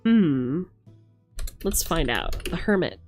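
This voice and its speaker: A woman's voice: sits low, very animated, takes it slowly, a little mellow. A woman giving a casual, chatty tarot reading on a live stream, musing aloud in informal language. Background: background music.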